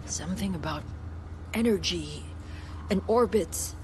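A woman's voice: lowish voice, measured pace, slight background noise, close to an everyday voice. An actress playing a blunt, spiritual, resolute woman survivor in a video game cutscene, with a matter-of-fact delivery. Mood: frank, wry.

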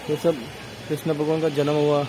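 A man's voice: fairly high pitch, completely flat delivery, moderate pace. A man vlogging a walking tour outdoors at a marble temple, explaining the wall paintings in a plain, casual way. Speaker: travel vlogger. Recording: noisy outdoor phone recording.

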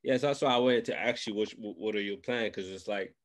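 A man's voice: lowish voice, fairly quick. A man, hosting a casual interview in slangy talk and repeating phrases for emphasis. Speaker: host. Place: small room at home, indoors. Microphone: large desk microphone close up, video call.

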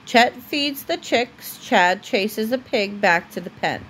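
A woman reading a children's storybook aloud as a mother would at bedtime, with deliberate pauses. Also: fairly high pitch, animated, a bit bright, slight background noise, rough recording.

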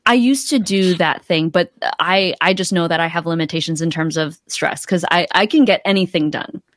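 A woman around her thirties or forties, a coach, speaking casually and a little haltingly as an interview guest on a close microphone. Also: on the low side, no echo. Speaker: podcast guest, accountability coach. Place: small indoor room, video call. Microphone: close desk microphone.

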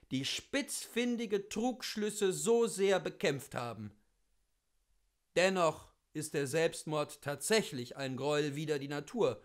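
A man reading classic literature aloud in an expressive, theatrical and declamatory way. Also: sits high. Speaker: reader of literature.

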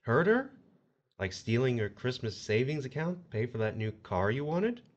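Guy playing a smug mocking afterlife agent in a horror radio play, medium pitch, bit muffled. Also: very animated, lightly acted. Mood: accusing, smug. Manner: folksy, mocking, deliberate. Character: sardonic male afterlife agent, smugly reciting a sinner's crimes. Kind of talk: audio horror drama acting.

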